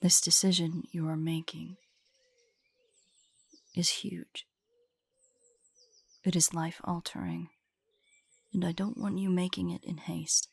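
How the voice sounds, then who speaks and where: A woman playing an ancient, guarded female drider in an intimate audio roleplay, speaking in a formal, measured, haughty way with a husky, breathy voice. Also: on the low side, flat delivery, darkish tone, dry recording, full character voice. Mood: grave, cautioning.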